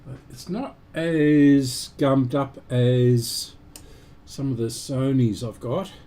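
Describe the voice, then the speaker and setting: A man giving unscripted, halting commentary as host of a repair stream, muttering to himself while he works. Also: medium pitch, fairly even intonation, warm tone.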